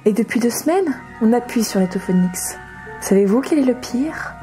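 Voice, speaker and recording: An actress playing an eager office employee who theatrically hosts horror stories in an audio comedy. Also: female voice, fairly high pitch, animated, normal speed, over music, lightly acted. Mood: ominous, theatrical. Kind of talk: amateur audio comedy drama.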